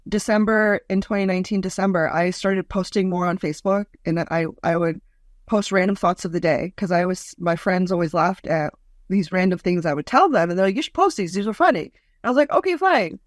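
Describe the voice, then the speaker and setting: A woman in her forties with a deep voice, a comedian guest telling stories in a lively, mocking way. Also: fairly quick, no echo, studio quality. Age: 40s. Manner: lively, storytelling, mocking asides. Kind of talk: casual interview chat.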